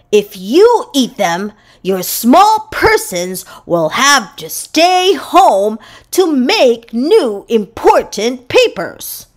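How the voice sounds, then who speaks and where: A woman voicing an earnest, boastful pet dog in a children's picture book, with emphatic, drawn-out delivery. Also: high-pitched, heavily acted.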